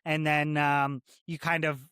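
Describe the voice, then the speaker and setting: Man in his thirties from Canada, corrections officer guest, slightly high voice, rambling and filler-heavy, dry sound. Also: little pitch movement, a little slow, sharp tone, smooth, no echo. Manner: rambling, many "like" and "right?" fillers. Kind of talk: casual interview conversation.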